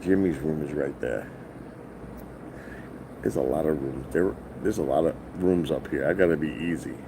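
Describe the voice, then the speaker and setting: A middle-aged man from New with a loud voice, telling a rambling, profane story on a casual stream from his hotel. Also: extremely low pitch, moderate pace, noisy background. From New York. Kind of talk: casual live-stream vlog. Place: hotel, indoors.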